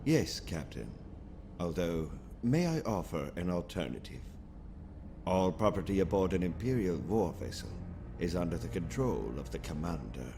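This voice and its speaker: Low, measured alien naval officer. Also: male voice, big pitch swings, noisy background. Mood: calm, deferential. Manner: formal, measured, precise. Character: calm, calculating alien male naval officer. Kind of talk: audio comic drama acting.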